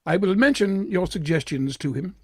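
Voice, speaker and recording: A man playing a stuffy, haughty waiter in an old-time radio drama, formal, pompous and icily polite, with a husky voice. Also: sits high, animated, normal speed, darkish tone, dry recording, clean studio sound, exaggerated voice. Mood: offended. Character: stuffy, haughty, easily offended male waiter. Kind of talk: vintage radio drama acting.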